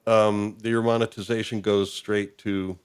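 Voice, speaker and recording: A man's voice: lowish voice, level tone, unhurried, smooth. A man hosting a show in a casual, informal chat, chuckling between remarks. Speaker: show host.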